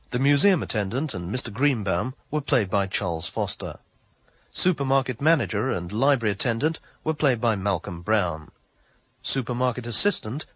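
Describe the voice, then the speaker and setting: A man reading scripted titles and credits as a radio drama announcer, in a formal, measured manner. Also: mid-range voice, a bit bright, no room sound. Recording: dull, muffled old radio recording. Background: faint music.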